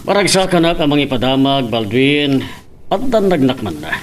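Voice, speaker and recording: A man's voice: mid-range voice, very animated, moderate pace, a little hiss or hum, lightly acted. A man playing a doting middle-aged father in a radio soap. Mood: proud, confiding. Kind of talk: Philippine radio soap acting.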